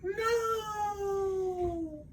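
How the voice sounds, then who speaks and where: Man doing a high, peeping little storybook frog. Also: very slow, far from the mic, a little hiss or hum, exaggerated voice. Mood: small, distant. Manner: peeping, calling out. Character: small, loyal male frog friend, peeping high.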